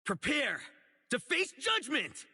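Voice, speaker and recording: A man voicing an earnest, righteous young public security officer in a video game, dutiful and excitable, with dramatic delivery. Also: extremely high pitch, slow pace, breathy edge, exaggerated voice. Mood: resolute, battle-ready. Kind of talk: isolated game character voice lines, dramatic acting.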